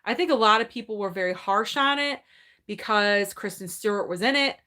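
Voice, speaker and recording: A woman's voice: medium pitch, normal speed, sharp tone. Chatty woman in her thirties. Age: 30s. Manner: chatty, upbeat, thinks aloud. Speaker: movie channel host. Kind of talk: casual live-stream Q&A, reading viewer comments. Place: her room at home.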